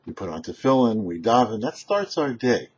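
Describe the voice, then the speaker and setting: A very husky, rough-voiced man delivers a short religious lesson as a rabbi. His pitch moves up and down in a lively way, with deliberate, emphatic phrasing and dramatic pauses. The recording is slightly dull and muffled. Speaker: rabbi, show host. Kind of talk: short religious teaching talk.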